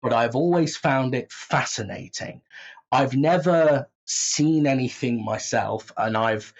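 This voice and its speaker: A man's voice: medium pitch, takes it slowly, lo-fi sound. A man chatting casually in an interview as a writer and actor of audio drama.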